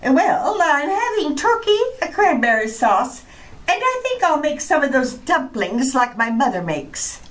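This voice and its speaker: A woman playing an easily indignant housewife with a deliberate delivery in an old-time radio comedy. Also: sits high, sing-song, a bit bright, recorded from a distance, full character voice. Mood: huffy. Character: huffy housewife and mother.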